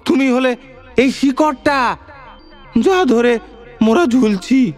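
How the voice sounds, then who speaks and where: A man voicing a tiny, desperate ancestor spirit with a strained, shrill voice, pleading in rustic speech in an audio story. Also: lively intonation, takes it slowly, faint music behind, full character voice.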